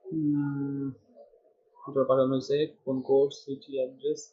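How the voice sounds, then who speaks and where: A man's voice: mid-range voice, very animated, normal speed. A man from Bangladesh teaching a coding tutorial, thinking aloud as he types and mixing in English terms. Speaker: programming tutor. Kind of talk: screen-recorded coding tutorial. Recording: dull lo-fi sound.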